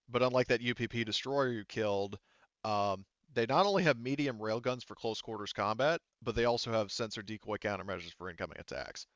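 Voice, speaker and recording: A man running a tabletop game, explaining rulings and details in a casual, halting way. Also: on the low side, no room sound, highs cut off. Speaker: game master.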